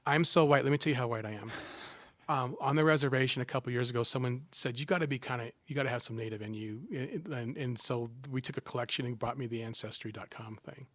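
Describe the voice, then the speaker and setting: A man's voice: medium pitch, dry recording. Middle-aged man, joking storyteller. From Southern California. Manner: animated, self-deprecating jokes, quick asides. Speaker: guest, psychology professor. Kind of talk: casual interview storytelling.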